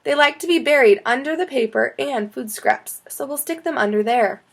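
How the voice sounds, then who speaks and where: Woman voicing a calm, high-voiced schoolteacher. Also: normal speed. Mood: instructive. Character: calm, instructive female elementary school teacher. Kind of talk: children's audiobook character.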